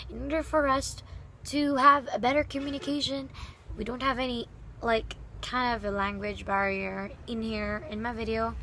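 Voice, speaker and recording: Young woman, Filipino accent, Bisaya native speaker, bubbly student vlogger, medium pitch, faint hiss behind. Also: a bit bright, low-quality recording. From Philippines. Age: young adult. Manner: bubbly, chatty, giggly. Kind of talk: casual vlog talk to camera.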